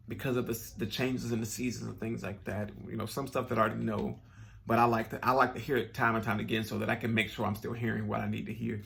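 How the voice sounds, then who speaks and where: A man's voice: lowish voice, creaky, fairly bright tone. A man talking casually to his viewers, earnest and rambling with many restarts, sounding a bit nasal and stuffed up. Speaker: vlogger. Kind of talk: casual personal talk to camera.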